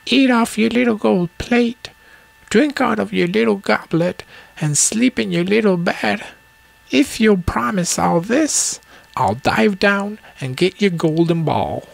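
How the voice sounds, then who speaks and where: Woman voicing a creaky, slow-talking little frog. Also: medium pitch, animated, no room sound, full character voice. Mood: bargaining, coaxing. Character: pleading male frog, small talking storybook creature. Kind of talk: acted storybook dialogue.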